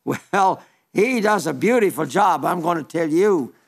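Elderly preacher reading listener letters. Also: male voice, fairly high pitch, animated, unhurried, creaky. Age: older. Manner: chatty and warm-hearted, with small stumbles and asides. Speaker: radio preacher. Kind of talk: folksy radio sermon reading listener letters.

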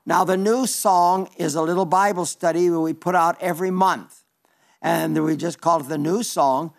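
A man's voice: a little high, fairly even intonation, normal speed, gravelly. An elderly man preaching a folksy radio sermon, reading listener letters with chatty asides and small stumbles. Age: older.

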